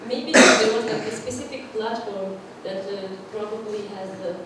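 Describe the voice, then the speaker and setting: A woman's voice: medium pitch, moderate pace, heavy background noise. A woman at a workshop, reporting her group's ideas with many fillers and restarts, in a large conference room. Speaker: workshop participant. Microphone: far room mic. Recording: echoey hall, far from the mic.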